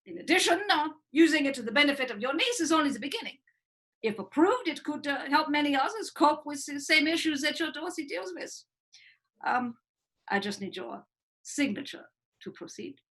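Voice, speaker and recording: An actress playing a cold, condescending hospital psychiatrist who talks in a clinical way with hesitant fillers. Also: female voice, high-pitched, animated, normal speed, a bit bright. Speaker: actor in a livestream script reading.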